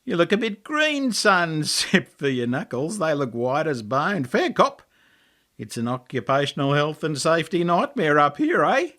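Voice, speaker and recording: A menacing Australian tempter from an audiobook tale, acted out by a male narrator. He taunts in a mocking, slangy way, with the voice leaping up high. His delivery swings widely as he needles his target. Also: big pitch swings, moderate pace, bright, forceful tone. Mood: mocking, needling. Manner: mocking, goading, slangy, pitch leaping upward.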